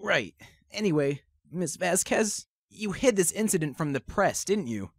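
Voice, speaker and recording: A woman's voice: fairly low pitch, lively intonation, a little slow, no room sound, studio quality. A woman voicing an earnest young male defense lawyer, hesitant and incredulous, in an amateur game fandub. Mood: probing.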